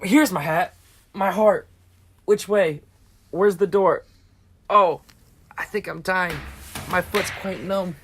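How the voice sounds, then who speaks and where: An actor playing a nervous, touchy hypochondriac landowner in a stage comedy, speaking in a fussy, formal way that stumbles when agitated. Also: male voice, a little high, fast, natural voice. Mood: panicked, ailing. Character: nervous, touchy hypochondriac landowner, a male suitor prone to outrage.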